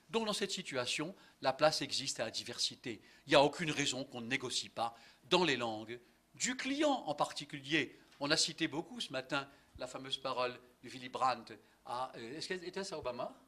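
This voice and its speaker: Animated older man lecturing with irony. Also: mid-range voice. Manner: animated, ironic and polemical, many asides and fillers. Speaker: conference speaker, linguist. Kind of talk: lively lecture to an audience. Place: conference hall.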